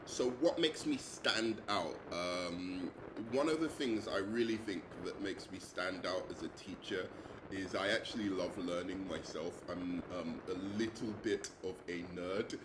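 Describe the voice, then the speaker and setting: A man's voice: low voice, measured pace, noisy background. Hesitant London man, echoey room. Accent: English, London. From England. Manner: hesitant, many ums and uhs. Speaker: English teacher. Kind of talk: self-introduction video.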